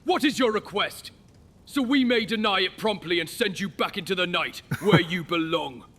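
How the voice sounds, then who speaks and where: A man's voice: high-pitched, normal speed, fairly bright tone. A man playing a haughty, hot-headed young prince in a fantasy audio drama, clipped, scornful and suspicious. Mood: disdainful.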